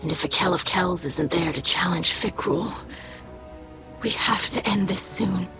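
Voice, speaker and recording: A woman's voice: lowish voice, unhurried, music in the background, close to an everyday voice, rough recording. An actress playing an anxious, earnest young female alien scientist in a video game, speaking formally with pleading intensity. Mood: worried, dejected. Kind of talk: video game character acting.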